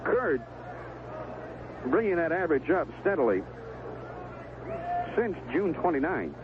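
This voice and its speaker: An American male sportscaster calls a ballgame with a very lively, swooping delivery. He speaks in short, conversational phrases. The sound is a vintage radio broadcast, thin and phone-like, with clear noise behind him. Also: fairly high pitch, a little slow, a crowd in the background. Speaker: baseball play-by-play radio announcer. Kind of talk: live sports commentary. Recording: vintage 1970s AM radio broadcast, tinny, noisy.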